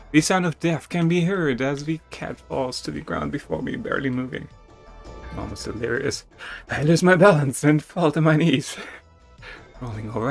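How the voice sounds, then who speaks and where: A man with a fairly high voice gives a dramatic reading of a text adventure game. He is theatrical but informal, rereading when he trips up, and music plays behind him. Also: vocal fry. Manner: theatrical, stumbles and rereads lines. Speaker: gamer reading a game's text aloud. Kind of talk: dramatic narration of a text game with casual asides.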